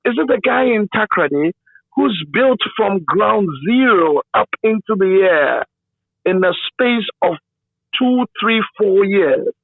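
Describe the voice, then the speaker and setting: A man with a Ghanaian accent speaks in a high voice as an interviewed broadcaster. He tells his story emphatically and checks in with the listener as he goes. The sound is dry and somewhat muffled, like a radio feed. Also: lively intonation, measured pace, gravelly, no room sound. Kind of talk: conversational interview reminiscence.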